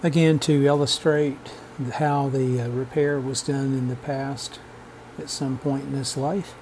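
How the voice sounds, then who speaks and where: A man narrating a vintage radio repair as a relaxed how-to voice-over, matter-of-fact and unhurried, with frequent "uh" pauses. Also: mid-range voice, slight background noise, low-quality recording. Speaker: radio restoration hobbyist.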